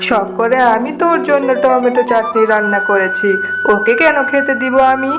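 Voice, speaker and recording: A woman's voice: a little high, normal speed, over loud music, close to an everyday voice. A woman voicing a haughty, stingy tigress mother in a cartoon, bossy and scornful. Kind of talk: cartoon voice acting.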